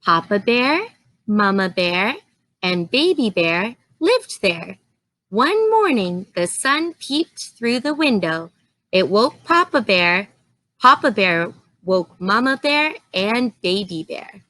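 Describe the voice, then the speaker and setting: A woman storyteller reading a children's story aloud in an expressive, deliberate way. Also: mid-range voice, no room sound, rough recording.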